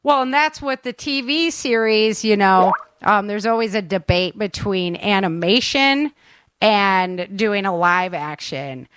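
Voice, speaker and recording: A woman's voice: mid-range voice, a little slow, fairly bright tone, clean tone, no echo. A woman with a mid-range voice chats casually as a show co-host. She is informal and enthusiastic, leaning on slang, and is recorded close and dry over an online call. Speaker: co-host and audio drama producer. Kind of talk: casual chat.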